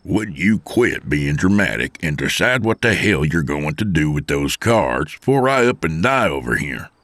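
A man's voice: a bass voice, sing-song, normal speed, a bit bright, no echo, heavily acted. A man voicing a crude, sardonic old trucker, in an audiobook story. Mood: grumpy. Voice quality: growling.

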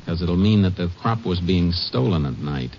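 Steady Texas Ranger, low pitch, terse, commanding, western radio drama, muffled vintage recording. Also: male voice, moderate pace, a little hiss or hum. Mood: brisk, determined. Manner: terse, matter-of-fact, commanding. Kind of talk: 1950s western radio drama acting and narration. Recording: dull old radio recording.

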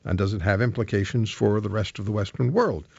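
A man from Switzerland hosting a radio talk show, speaking deliberately and emphatically into a close microphone as he explains and asks questions. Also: lowish voice, gravelly, darkish tone, breathy edge, dry recording, highs cut off. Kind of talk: radio monologue and interview.

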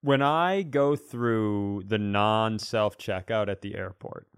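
A male comedian and podcast host telling stories casually, acting out bits in an animated, self-deprecating way. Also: fairly low pitch, slow, smooth, no echo, studio quality. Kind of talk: casual comic storytelling.

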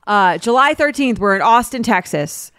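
A woman's voice: on the high side, animated, a little slow, fairly bright tone, dry recording, clean studio sound. A woman co-hosting a podcast in a casual, conversational style. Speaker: podcast co-host. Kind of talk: casual chat, with host-read ad.

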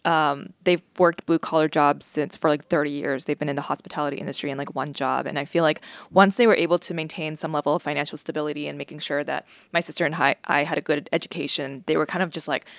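A woman's voice: low voice, steady tone, fast talker, hardly any pauses, no echo. A woman hosting a podcast in casual, reflective chat, using lots of "like" and "um.". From United States. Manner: reflective, conversational, leans on "like" and "um". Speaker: podcast host.

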